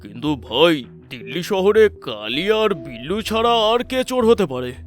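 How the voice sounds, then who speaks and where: Guy playing sidekick crook in a cartoon, scheming, eager, voice a bit high. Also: very animated, measured pace. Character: scheming, loyal male henchman thief. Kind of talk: cartoon voice acting.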